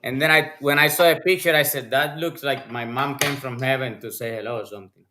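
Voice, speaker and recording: A man in his forties from Puerto Rico with a Puerto Rican accent, chatting excitedly as a podcast guest over a video call and often restarting his sentences. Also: medium pitch, a little fast, a little hiss or hum. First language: Spanish. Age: 40s. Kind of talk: casual chat.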